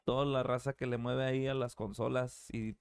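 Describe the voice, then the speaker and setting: A man in his thirties hosting a podcast, chatting casually in English and Spanish, swearing easily and reading out shout-outs from the chat. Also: mid-range voice, little pitch movement, fast talker, creaky, smooth, no echo. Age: 30s. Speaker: podcast host.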